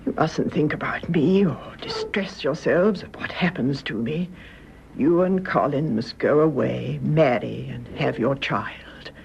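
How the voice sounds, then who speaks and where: An actress playing a composed, stoic older mother in a radio drama, speaking in a measured, tender way that drops into hushed tones. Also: low-pitched, vocal fry. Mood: tender, resolute. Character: composed, stoic elderly mother confessing to mercy killing. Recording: muffled, hissy old radio drama recording.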